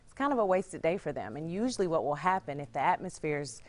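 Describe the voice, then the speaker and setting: American woman, slightly low voice. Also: expressive, fast. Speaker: restaurant owner guest. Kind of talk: explanatory panel talk. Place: TV studio.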